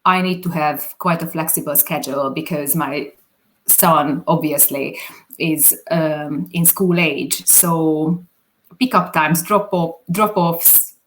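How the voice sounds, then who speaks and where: Slow, low Hungarian-accented woman. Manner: hesitant, many fillers and restarts. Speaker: guest, videographer. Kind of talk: interview answers.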